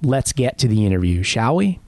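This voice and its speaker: A man's voice: mid-range voice, sing-song, a little fast, a little mellow, dry recording, clean studio sound. Conversational podcast host man. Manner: friendly, conversational, slight hesitations. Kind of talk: podcast intro and interview chat.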